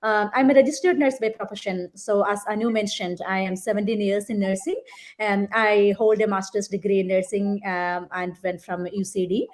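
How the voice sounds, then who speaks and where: A woman's voice: medium pitch, fairly even intonation, moderate pace. Earnest woman guest. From Kerala, India. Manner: earnest, reflective, with many fillers. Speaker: guest, nurse. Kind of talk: casual conversational interview.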